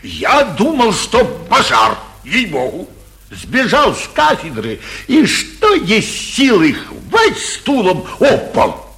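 An actor playing an anxious, blustering middle-aged provincial mayor in emphatic, theatrical 1940s radio comedy style. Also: male voice, sits high, huge pitch swings, slow, sharp tone, exaggerated voice. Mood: exasperated.

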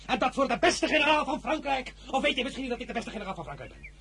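An actor playing a proud, ambitious young general in a radio play, commanding and quick to flare up. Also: male voice, extremely high pitch, sing-song, sharp tone, a little hiss or hum, exaggerated voice. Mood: indignant.

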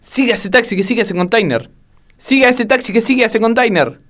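Guy doing urgent radio parody guy barking orders, high voice, exclaiming everything. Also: brisk, a bit bright. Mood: urgent, insistent.

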